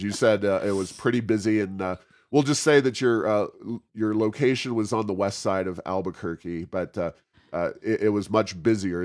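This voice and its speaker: A man hosting an informal interview, feeling his way through questions with hesitant, roundabout phrasing. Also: sits low. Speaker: interview host.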